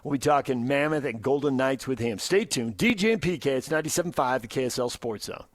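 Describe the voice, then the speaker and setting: A man's voice: mid-range voice, lively intonation, fast talker, dry recording. An American man talks sports as a radio host in a casual, emphatic way. His voice sits in a middle register, and the studio sound is close and dry. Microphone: close studio microphone.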